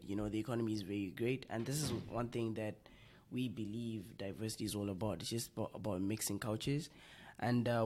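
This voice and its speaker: A man's voice: lowish voice, a little mellow. A young man from Zimbabwe, a guest in a casual chat, talking loosely with many fillers and restarts. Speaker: guest, clothing brand co-founder. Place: coffee shop.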